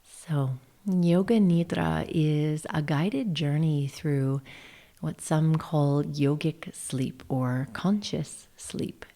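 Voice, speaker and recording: Casting: female meditation guide, low and dark-toned, gentle and measured, phrase endings melting into whisper. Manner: soothing, gentle, measured, often trailing into breathy whispers. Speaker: yoga nidra guide, session host.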